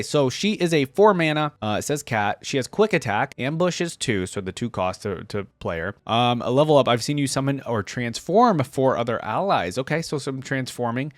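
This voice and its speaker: A man's voice: mid-range voice, no echo. Casual man in a dry, close recording. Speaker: reaction video host. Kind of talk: casual gaming reaction commentary. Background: faint music.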